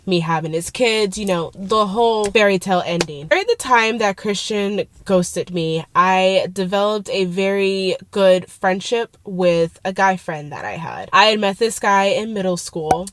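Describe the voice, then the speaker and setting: A woman's voice: lowish voice, no room sound. A young woman with a congested, nasal voice, telling a personal story in a casual, profane vlog. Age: young adult. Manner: casual, profane, quick bursts. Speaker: vlogger.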